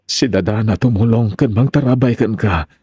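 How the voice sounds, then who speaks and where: A man's voice: fairly low pitch, moderate pace, warm tone, natural voice. A man acting in a radio drama as a tender, remorseful middle-aged father back from prison, asking forgiveness. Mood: tender, grateful.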